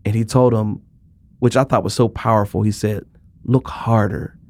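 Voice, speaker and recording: A man's voice: on the low side, fairly even intonation, normal speed, darkish tone. A man hosting an interview, drawing out his guest's story with encouraging, affirming prompts. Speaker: podcast host. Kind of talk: conversational interview.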